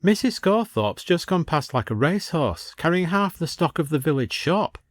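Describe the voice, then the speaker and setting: A man's voice: a little high, big pitch swings, normal speed, no echo, studio quality, pitched above the performer's own voice. A man voicing a worried, forthright woman who is a village nurse in a story. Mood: insistent.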